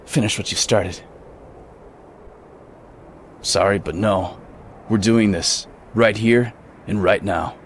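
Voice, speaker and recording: A man's voice: on the low side, takes it slowly, long pauses, slight background noise. An actor playing a grim, resolute gunman in an old-school radio drama, solemn and determined. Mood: steely. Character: grim, resolute lone gunman, husband and father.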